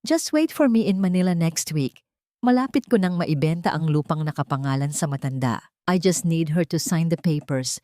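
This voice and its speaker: A woman's voice: sits low, lively intonation, normal speed, dark tone, dry recording, clean studio sound. A woman voicing a cruel, greedy, scheming aunt in an audio story, gloating and seductive as she mixes two languages. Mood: sweet, scheming. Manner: gloating, switching between Tagalog and English.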